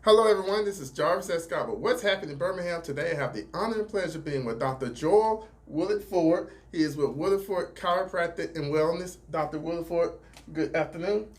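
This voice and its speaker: A man's voice: fairly high pitch, animated, a little fast. A man hosting a casual podcast interview, upbeat and polite, often saying "all right" and "mm-hmm". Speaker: podcast host.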